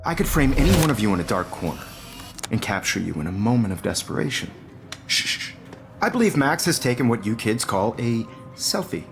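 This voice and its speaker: A man's voice: mid-range voice, sing-song, a little fast, gravelly, music in the background. A man voicing a smug, manipulative photography teacher in a video game, taunting and often whispering. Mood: lecturing. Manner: taunting, mocking, drops into whispers.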